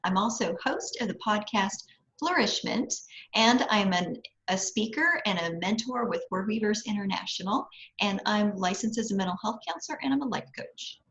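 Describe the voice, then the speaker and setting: An echoey, slightly muffled recording of a woman with a mid-pitched voice. She gives conversational advice as a mentor on a panel. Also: animated, normal speed, fairly bright tone. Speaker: guest author, writing mentor and life coach. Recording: dull, roomy microphone sound.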